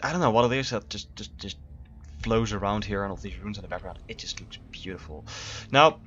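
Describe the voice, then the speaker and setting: Low-voiced man streaming a game. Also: brisk, fairly bright tone, a little hiss or hum. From Netherlands. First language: Dutch. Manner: chatty, rambling with restarts. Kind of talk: live gameplay commentary. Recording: dull lo-fi stream audio.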